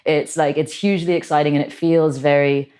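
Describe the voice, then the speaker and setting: Low-voiced Dublin woman, chatty. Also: little pitch movement, brisk, a little mellow. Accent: Dublin Irish. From Ireland. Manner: chatty, many fillers and restarts. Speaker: guest, party organizer. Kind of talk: casual interview chat.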